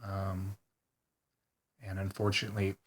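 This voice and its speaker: A congested man talking hesitantly in a rambling personal video diary, full of pauses and ums. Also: deep voice, completely flat delivery, fairly bright tone. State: congested, stuffed-up nose. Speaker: vlogger.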